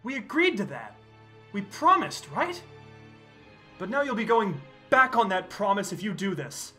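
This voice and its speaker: A man voicing a proud, distraught young vampire mistress in an amateur comic dub, with halting, theatrical delivery. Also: high-pitched, very animated, bright, forceful tone, exaggerated voice. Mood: pleading. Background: music.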